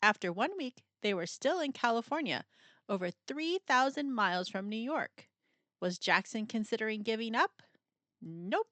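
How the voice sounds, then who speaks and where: A woman reading a children's picture book aloud in an expressive, playful storytelling style, with dramatic pauses and emphasis. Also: on the high side, dry recording.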